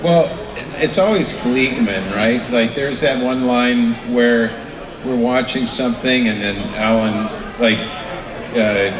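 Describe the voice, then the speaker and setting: A man's voice: mid-range voice, slow. A man on a convention panel, rambling and hesitant as he answers. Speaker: panel guest. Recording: echoey hall, distant mic, crowd.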